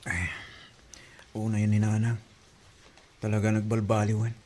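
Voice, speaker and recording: A man's voice: on the low side, completely flat delivery, measured pace, darkish tone. A man with an Ilocano accent playing a concerned, protective older brother in an audio drama.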